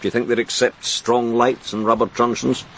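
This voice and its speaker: Harried senior detective, background noise. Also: male voice, mid-range voice, a bit bright. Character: harried senior police detective, weary and dryly sardonic. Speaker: senior police officer. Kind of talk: naturalistic radio crime drama acting.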